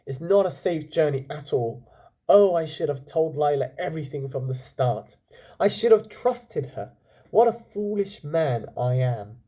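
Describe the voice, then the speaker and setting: A man plays an anxious storybook fellow, cautious and full of regret. His voice is mellow and husky, lifting with worry and self-reproach. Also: a little high, animated, unhurried. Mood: worried, regretful.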